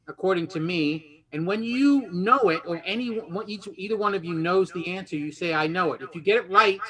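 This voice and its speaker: A man hosting a casual game show, chatty and halting as he rephrases his explanations. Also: sits high, sharp tone, low-quality recording.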